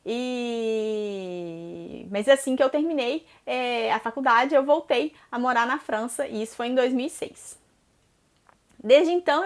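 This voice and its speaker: A Brazilian woman in her thirties with a Brazilian Portuguese accent, answering viewer questions in a chatty personal vlog, with many "né?" tags and some hesitant restarts. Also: high voice. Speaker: vlogger.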